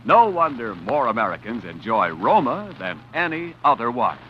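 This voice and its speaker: Man, vintage radio announcer, smooth sales pitch, lively pitch, muffled old broadcast. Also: normal speed, a little hiss or hum. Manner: polished, salesman-like. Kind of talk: 1940s radio sponsor announcement.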